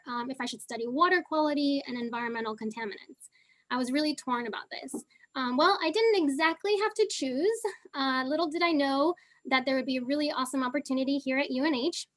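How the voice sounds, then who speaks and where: A young woman originally from Argentina, speaking with a Southern California accent. Her voice sits high as she speaks, and she talks casually, with plenty of ums and uhs. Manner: casual, many fillers. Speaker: panelist, geochemist. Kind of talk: online panel talk.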